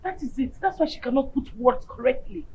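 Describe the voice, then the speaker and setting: A woman's voice: sits high, animated, a little fast, vocal fry, a little mellow, highs cut off, soft background music. A woman with a Nigerian accent playing an auntie figure who questions and reasons with the others. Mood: reasoning.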